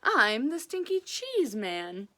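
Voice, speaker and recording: A woman's voice: a little high, wildly swooping pitch, slow, a bit bright, full character voice. A woman voicing a cocky runaway man made of stinky cheese in a storybook, chanting taunting boasts. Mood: taunting, boastful.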